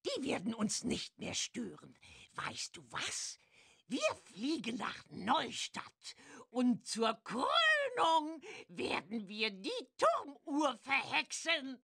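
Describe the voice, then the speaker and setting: A woman playing a boastful, mischievous old witch with a sharp, creaky voice in a children's game. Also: high-pitched, huge pitch swings, full character voice. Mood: smug. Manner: cackling, theatrical, drawn-out delivery. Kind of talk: German children's audio-play acting in a game.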